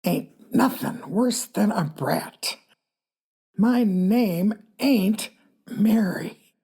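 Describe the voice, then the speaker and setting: A woman's voice: medium pitch, expressive, drawn-out words, dark tone, husky, full character voice. A woman voicing a possessed young girl who speaks like a spiteful old woman, menacing and hissing.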